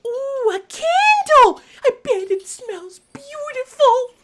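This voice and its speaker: A woman's voice: extremely high pitch, very animated, slow pace, background noise, full character voice. A woman playing a vain, melodramatic, gushing receptionist in an audio comedy drama, theatrical and overexcited.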